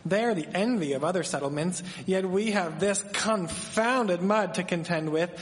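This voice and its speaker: Radio drama: male actor as easygoing 1870s village husband, measured old-fashioned diction, recorded live with hall echo. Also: high-pitched, natural voice. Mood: proud. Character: genial, civic-proud Victorian husband. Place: performing arts theatre stage. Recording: echoey hall, live stage performance.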